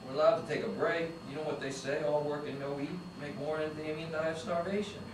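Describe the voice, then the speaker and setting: A laid-back male vice cop who cracks jokes and brushes off serious cases, read by an actor at a table read. His voice sits a bit high. Heavy room echo and distance colour the sound. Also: fairly even intonation, quick pace, noisy background, lightly acted. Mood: joking. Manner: wisecracking and dismissive. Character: joking, lazy male vice cop who loves food. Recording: echoey room picked up from a distance.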